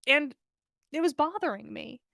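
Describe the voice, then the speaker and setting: Woman reading as awkward young man, high voice, hesitant, modest, earnest, storybook, dry sound. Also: expressive, normal speed, vocal fry, pushed and bright, dry recording, heavily acted. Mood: flustered, earnest. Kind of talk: fan fiction story reading.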